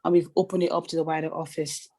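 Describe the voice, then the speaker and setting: A woman with a husky voice from a sore throat, chatting casually as a participant on a video call. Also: low voice, little pitch movement, fast, crowd noise. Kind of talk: casual chat.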